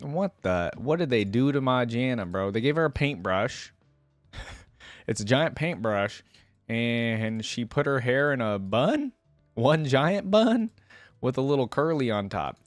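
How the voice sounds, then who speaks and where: A man's voice: fairly low pitch, no room sound. A man hosting a casual reaction video, talking to viewers in an informal, slangy, exclamatory way.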